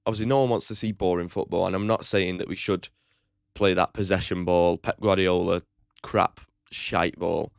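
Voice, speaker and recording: A man's voice: deep voice, steady tone, normal speed, gravelly, dry recording, studio quality. A man hosting his own football fan channel, giving a blunt, opinionated match preview with casual swearing. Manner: chatty, with frequent "like" fillers.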